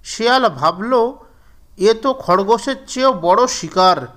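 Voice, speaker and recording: A man narrating a scripted children's story in a measured, deliberate storybook manner. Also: high-pitched, expressive, fairly bright tone.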